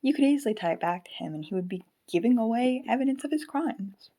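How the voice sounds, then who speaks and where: A woman's voice: a little high, expressive, fast talker, dark tone. A woman acting in an audio drama as a shrewd female robot companion, analytical and teasing. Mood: suspicious.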